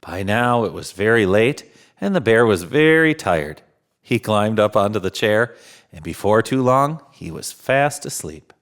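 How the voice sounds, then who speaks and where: A man reading a children's storybook aloud as a jolly storyteller, with unhurried, dramatic phrasing. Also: lowish voice, lively intonation, a bit bright. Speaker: storyteller in Santa persona.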